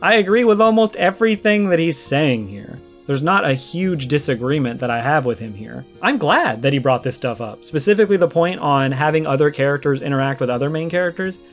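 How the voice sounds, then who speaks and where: Higher-voiced man explaining over music. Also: animated, fairly quick, hardly any pauses. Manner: explanatory, argues point by point. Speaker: video essayist giving a response commentary. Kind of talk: conversational reaction commentary on games. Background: background music.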